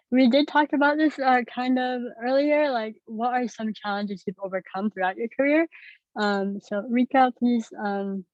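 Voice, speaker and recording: A woman's voice: a little high, no room sound. A young adult woman moderating a student panel. She talks hesitantly, with many fillers and repeated words. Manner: hesitant, lots of ums and repeats. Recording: dull, dry video-call audio.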